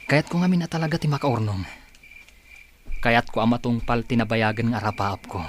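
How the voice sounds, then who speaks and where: Humble, courteous young son, radio drama, male actor; Ilocano accent, mid pitch, cricket-backed recording. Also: a little fast, natural voice. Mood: gentle, reassuring. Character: humble, polite blind young singer and masseur, devoted son. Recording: clear background noise, chirping crickets.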